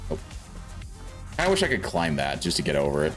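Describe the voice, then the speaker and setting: A man's voice: rumbling low, huge pitch swings, brisk, a bit bright. A man from South Africa streaming a game, chatting casually and thinking aloud as he plays. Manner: chatty, rambling, thinks aloud while playing. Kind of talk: casual gameplay commentary. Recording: faint game music behind.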